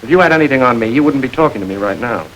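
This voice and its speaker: Actor as suave gang boss, smooth and mocking, old film sound with faint hiss. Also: male voice, mid-range voice, expressive, racing, natural voice, poor audio. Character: suave smug male gang boss, adult. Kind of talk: 1940s film acting.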